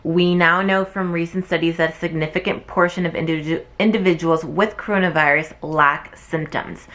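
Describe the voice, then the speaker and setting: A woman's voice: fairly low pitch, fairly even intonation, moderate pace, fairly bright tone. A young woman, a nursing student, chatting casually to camera with lots of fillers, her voice wearing thin from talking. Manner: chatty and rambling, many fillers. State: losing her voice.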